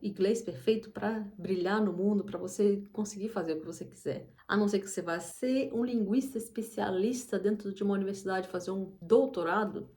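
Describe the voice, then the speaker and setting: A woman's voice: medium pitch, brisk, few pauses, mellow. A woman, talking casually to camera as the host of her channel, rambling with many restarts and asides. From Brazil. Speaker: English teacher and channel host.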